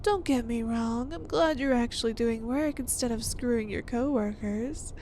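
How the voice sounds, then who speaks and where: Woman acting as a high-voiced, sweetly possessive yandere wife. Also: darkish tone, a little hiss or hum, lightly acted. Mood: reproachful, suspicious. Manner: cooing, saccharine, coaxing, drawn-out delivery. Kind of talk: roleplay ASMR acting.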